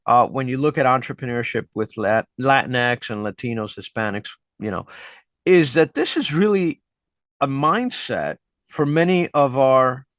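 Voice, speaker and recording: A man's voice: mid-range voice, a little slow, fairly bright tone, no room sound, clean studio sound. A middle-aged man hosting an interview, a teacher who talks in a chatty, reflective way. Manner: chatty, reflective, many fillers. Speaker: host and teacher. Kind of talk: interview questions and comments.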